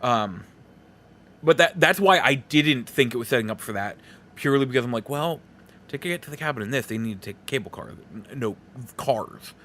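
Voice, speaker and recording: Casting: male podcast host for a solo film review, informal and chatty, medium-pitched voice, prone to rambling asides. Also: animated, brisk, bright, forceful tone, a little hiss or hum. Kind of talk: casual solo movie review talk.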